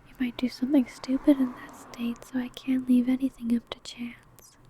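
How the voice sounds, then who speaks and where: A woman's voice: high voice, flat delivery, moderate pace, dark tone, slight background noise, lightly acted. A woman playing an ancient, possessive, doting female vampire in a whispered, intimate ASMR roleplay, crooning breathily. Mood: protective, possessive.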